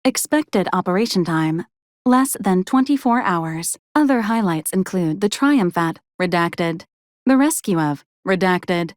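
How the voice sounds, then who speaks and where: A woman voicing a polite, officious robot support unit in a video game, speaking in formal, clipped, deadpan announcements. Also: lowish voice, measured pace, no echo, studio quality. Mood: polite, detached. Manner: formal, clipped, programmed announcements in complete phrases.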